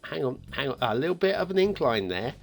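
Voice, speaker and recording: A man's voice: medium pitch, big pitch swings, a little fast, creaky, faint music behind. A man hosting a game map review in a chatty, playfully teasing way, commenting casually and off the cuff.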